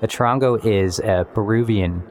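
Male composer, interview style. Deep voice, thoughtful and explanatory, clear musical bed underneath. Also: moderate pace, darkish tone, faint music behind. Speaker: composer and musician interviewed about his work. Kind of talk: reflective interview talk.

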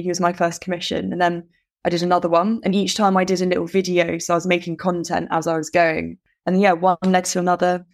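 A woman with a somewhat low voice, chatting as a podcast guest. She tells her story eagerly and acts out her own past thoughts in an animated way. Her voice comes through a remote podcast microphone. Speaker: guest artist. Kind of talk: casual interview storytelling.

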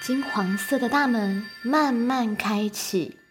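Female storyteller: high voice, expressive scripted children's narration over prominent music. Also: slow pace.

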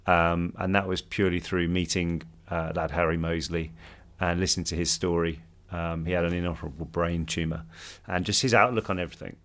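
Deep-voiced man. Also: fairly even intonation, brisk, a bit bright. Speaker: co-host answering viewer questions. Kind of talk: casual chat.

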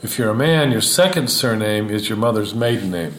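A man with a low voice who explains things calmly and carefully, often pausing on an "uh". He sounds like he is in a roomy space, with a faint hum of ambient noise behind him. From Houston, Texas, United States. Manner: thoughtful, measured explaining with frequent fillers. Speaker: guest, Old Testament scholar. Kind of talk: podcast interview.

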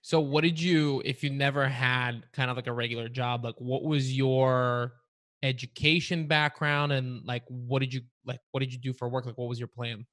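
A man's voice: mid-range voice, fairly even intonation, moderate pace, a bit bright, no room sound, studio quality. A man from Bulgaria interviewing a guest, asking long rambling questions full of "like". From Varna, Bulgaria.

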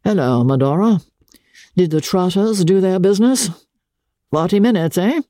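A brusque, sneering man of wealth, played by a woman narrator in a storybook reading. The delivery is clipped and sardonic, in a close, dry recording with a dark tone. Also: fairly low pitch, expressive, moderate pace, no echo, voice pushed up, close to an everyday voice. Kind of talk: dramatic audiobook character acting.

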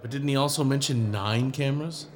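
A man's voice: mid-range voice, brisk, natural voice. A man playing a demanding, wry task force leader in a scripted audio drama, in dialogue and narration. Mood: wry, questioning.

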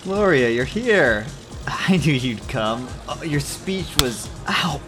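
A young suitor in an audio drama, possessive and sure of himself. His pitch moves a lot, and music plays clearly behind him. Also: animated, slow. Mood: eager. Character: possessive, self-assured young male suitor, pleading. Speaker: actor.

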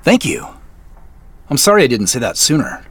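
An actor playing a hesitant, apologetic professional man in a naturalistic audio drama, with a halting, awkward way of talking. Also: mid-range voice, wildly swooping pitch, slightly rough. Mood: polite, hesitant. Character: Joseph, hesitant, apologetic middle-aged professional man with dad jokes.

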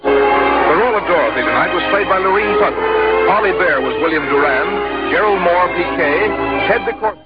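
A man announcing in polished, measured 1950s radio style, reading a sponsor's message and sign-off. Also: high-pitched, animated, loud music behind the voice. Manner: polished, measured sales delivery. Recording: muffled vintage radio, loud orchestra behind.

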